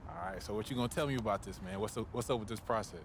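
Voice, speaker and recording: An actor playing a confident young American basketball draft prospect in a game, talking in a laid-back, colloquial way. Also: male voice, on the low side, racing, background noise, lightly acted. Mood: friendly, nervous. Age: young adult. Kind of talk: naturalistic video game cutscene acting.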